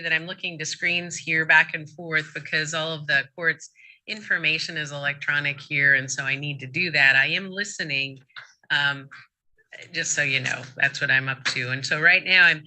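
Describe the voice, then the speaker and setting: A woman judge conducts a court hearing, laying out the procedure one careful step at a time. Her voice sits a little low yet rings very bright and clear. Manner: measured, explains procedure step by step.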